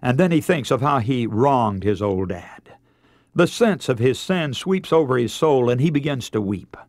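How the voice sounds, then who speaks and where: A man's voice: medium pitch, lively intonation, moderate pace, no room sound, studio quality. An American man preaching a radio sermon as a dramatic, emotive story, with theatrical delivery and rhetorical questions.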